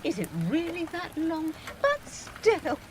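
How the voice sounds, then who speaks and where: A woman's voice: very high voice, very animated, takes it slowly, full character voice. An actress in an audio drama playing a vain, flirtatious middle-aged lady with a gushing, teasing way of talking. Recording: noisy home-recorded audio drama.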